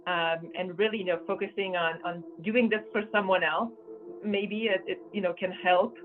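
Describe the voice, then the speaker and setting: A woman's voice: mid-range voice, fairly even intonation, normal speed, narrow, tinny audio, soft background music. A woman in her thirties from Poland, raised in France, speaking as an interview guest in casual conversation, with frequent fillers and restarts. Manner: frequent fillers and repeated words, earnest. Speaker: guest, business consultant and former volleyball captain.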